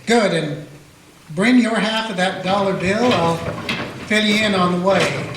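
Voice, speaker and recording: A man playing a steady small-town Old West lawman in a radio play, telling the story plainly in the first person. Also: high-pitched, unhurried, noisy background. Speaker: narrator and lawman character. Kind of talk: amateur radio play acting. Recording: echoey room with guitar music.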